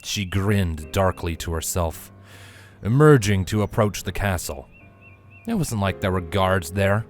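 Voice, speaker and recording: Low-voiced man narrating a story. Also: unhurried, faint music behind.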